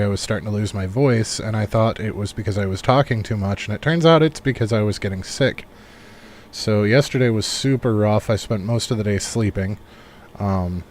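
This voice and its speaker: A man giving relaxed, rambling live commentary on a game he is playing, thinking aloud as he goes, while recovering from a cold. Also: lowish voice, slight background noise. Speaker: game streamer. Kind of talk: casual live gameplay commentary.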